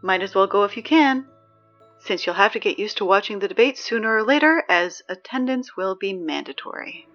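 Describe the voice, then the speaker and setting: A woman's voice: medium pitch, animated, brisk, a bit bright, muffled recording, soft background music, close to an everyday voice. A woman playing a dry, sarcastic teenage girl who reads the school announcements in an audio drama. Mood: wry.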